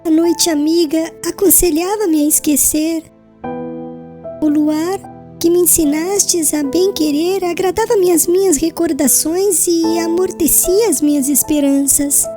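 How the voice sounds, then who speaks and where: A woman playing a gentle, devout, frail young bride in a dramatised audiobook, speaking tenderly and humbly in solemn literary phrases. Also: very high-pitched.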